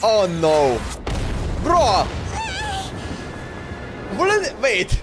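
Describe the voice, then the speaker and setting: A man doing casual live gameplay commentary, informal and quick to exclaim as he reacts to the game. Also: high-pitched, huge pitch swings. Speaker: game streamer. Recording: game music behind the voice. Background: video game music.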